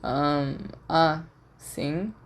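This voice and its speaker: A Brazilian woman voicing a weary, gruff, sardonic teenage schoolboy in an audiobook story. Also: low voice, very slow, exaggerated voice. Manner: blunt, reluctant.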